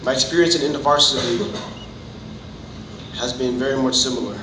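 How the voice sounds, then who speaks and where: A man's voice: medium pitch, steady tone, normal speed. Young Fijian man speaking in a roomy space. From Fiji. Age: 20s. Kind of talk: personal testimony, informal public speaking. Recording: roomy, distant mic, noticeable background noise.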